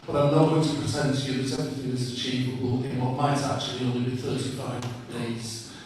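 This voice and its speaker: A man answering as a parliamentary candidate at a hustings, earnest and measured. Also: mid-range voice, steady tone, a little mellow. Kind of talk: hustings answer to a public meeting. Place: public hall meeting. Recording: echoey hall, far from mic, background noise.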